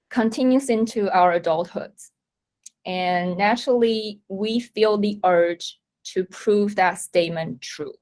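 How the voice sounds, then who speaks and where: A woman in her thirties, originally from Taiwan, giving a measured, reflective presentation at a company event. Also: on the low side. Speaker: company talk speaker. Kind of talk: prepared presentation talk.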